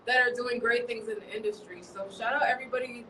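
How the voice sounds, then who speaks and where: A woman in her thirties from Chicago, a journalist chatting in a relaxed, rambling way and laughing often during an interview. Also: on the high side, fast, distant mic, noisy background. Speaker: journalist guest. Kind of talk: casual interview chat. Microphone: livestream from a distance.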